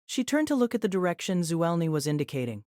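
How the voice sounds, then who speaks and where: A woman's voice: lowish voice, big pitch swings, fast, darkish tone, no room sound, clean studio sound. A woman narrating a scripted novel as a dramatic storyteller.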